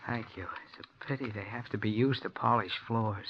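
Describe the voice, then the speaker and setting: A soft, childlike menace hangs on every word of this unstable young handyman, as an actor plays him. He talks quietly and eerily, in the style of 1940s radio acting. The old recording is dull and lo-fi. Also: on the low side, fairly quick, slight background noise, close to an everyday voice. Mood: eerie calm.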